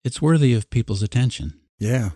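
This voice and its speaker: A man's voice: medium pitch, lively intonation, normal speed, dark tone. A man hosting a podcast, speaking in a conversational literary interview style. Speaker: podcast host.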